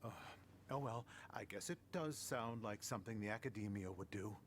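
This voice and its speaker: A man's voice: lowish voice, normal speed, slight background noise, close to an everyday voice. A man playing a reassuring, practical young ally in a video game, easygoing and matter-of-fact. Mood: teasing.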